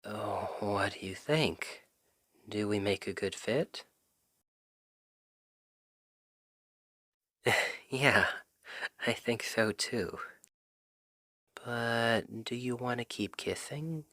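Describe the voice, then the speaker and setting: A man acting a shy, earnest best friend in an intimate ASMR roleplay, speaking softly and haltingly. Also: on the low side, no echo, heavily acted. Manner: hesitant, halting, soft-spoken. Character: shy, earnest male Cupid best friend confessing love.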